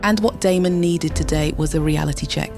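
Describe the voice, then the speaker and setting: A woman narrator with a low-pitched voice delivers scripted dramatic storytelling. Music plays clearly behind her. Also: brisk.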